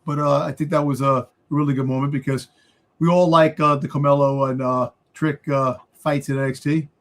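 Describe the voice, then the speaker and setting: A man's voice: a little high, level tone, moderate pace. A man on a casual wrestling fan panel, bantering and repeating his phrases for emphasis.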